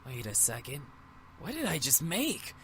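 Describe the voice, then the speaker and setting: A woman's voice: low voice, wildly swooping pitch, moderate pace, slight background noise, heavily acted. A woman voicing a cheerful, easily spooked young man in a visual novel game, in an eager, theatrical manner. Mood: alarmed. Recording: game music behind the voice.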